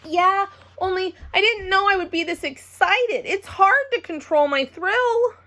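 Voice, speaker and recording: A woman voicing an excitable, dramatic, chatty little girl in a children's storybook, blurting and repeating herself. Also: extremely high pitch, unhurried, pitched above the performer's own voice, exaggerated voice. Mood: thrilled. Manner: breathless repetition, blurting, childish phrasing.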